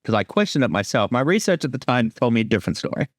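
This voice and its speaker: A man's voice: medium pitch, very animated, very fast, no room sound, studio quality. A man chatting casually as a creator commenting on his show, often doubling back on his opening words. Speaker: creator giving episode commentary.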